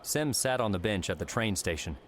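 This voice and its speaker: A man with a low, deep voice narrates a story in the manner of an audiobook. The reading is scripted, and there is noise behind the voice. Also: animated, fairly quick.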